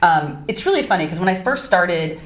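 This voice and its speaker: A woman with a deep, low-pitched voice talks as an interview guest, lively and upbeat with quick asides. Her voice rings in a roomy, echoey space. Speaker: voice actress guest. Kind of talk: casual interview chat. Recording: roomy echo.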